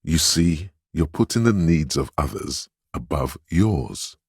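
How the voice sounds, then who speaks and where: A very deep, pompous authority. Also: male voice, animated, vocal fry, slightly rough, dry recording, clean studio sound, full character voice. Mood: solemn praise. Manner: pompous, ceremonious, deliberate. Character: solemn, grandiose inner voice of authority exalting honour. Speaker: voice actor. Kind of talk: scripted game voice lines, theatrical narration.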